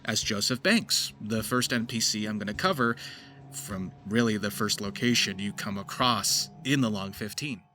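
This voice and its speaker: A man's voice: lowish voice, moderate pace, sharp tone. A man giving effusive, emphatic video commentary as the host, with some swearing. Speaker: video host and amateur voice actor. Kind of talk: video essay commentary, semi-scripted. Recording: faint music under voice-over.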